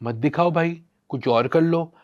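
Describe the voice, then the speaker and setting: A man's voice: a little high, unhurried, highs cut off. A man with a Pakistani accent giving opinionated film commentary in an emphatic, sarcastic way, often posing rhetorical questions. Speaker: podcast guest, film critic.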